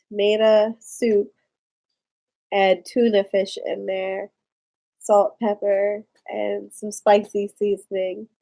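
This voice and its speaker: A woman with a Maryland accent talks in a loose, rambling way, going off on tangents as she chats to her live viewers. She speaks slowly, and her tone is soft and dark. Her pitch sits in a middle range. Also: creaky, low-quality recording. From Maryland, USA. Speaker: vlogger. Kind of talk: casual live-stream chat.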